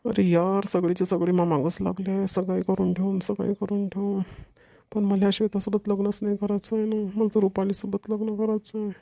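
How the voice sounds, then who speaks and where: A man's voice: high-pitched, monotone, a little fast, gravelly, warm tone, no room sound, studio quality. A man voicing a reluctant young son in a cartoon family comedy, grumbling and protesting with a Varhadi Marathi accent. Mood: brooding, frustrated.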